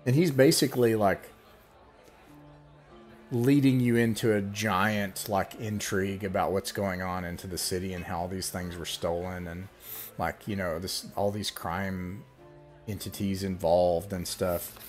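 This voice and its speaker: A man's voice: sits low, fairly even intonation, a little slow, soft background music. A man with a scratchy voice narrating as game master in an online tabletop game, casual and hesitant. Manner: casual, hesitant, many fillers. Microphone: video call webcam.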